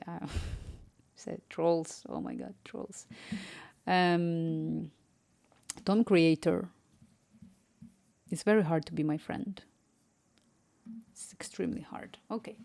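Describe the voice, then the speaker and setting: A woman's voice: lowish voice, vocal fry, darkish tone. A woman with a Ukrainian accent carrying some Spanish influence, streaming live as an app developer, chatty and easily distracted, reading chat aloud and murmuring to herself while she codes. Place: home room.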